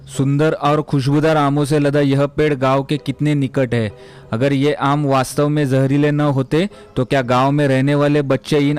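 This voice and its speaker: Man playing shrewd merchant boss, very clear voice. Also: a little high, darkish tone, close to an everyday voice. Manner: authoritative, explanatory. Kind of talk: acted moral story dialogue.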